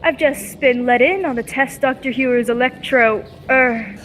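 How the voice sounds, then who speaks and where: A woman's voice: high voice, normal speed, a bit bright. A young woman playing an eager, inquisitive young soldier heroine in an old-time radio serial. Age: young adult. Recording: echoey hall with background noise.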